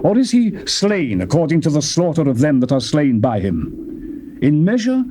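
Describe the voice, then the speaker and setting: A man giving a solemn, declamatory dramatized scripture reading, his pitch sweeping high and low. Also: wildly swooping pitch, normal speed, mellow, a little hiss or hum. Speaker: scripture reader.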